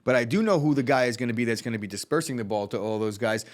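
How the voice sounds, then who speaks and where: Male co-host, mid pitch, opinionated and quick, casual sports talk. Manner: fast opinionated talk, many restarts.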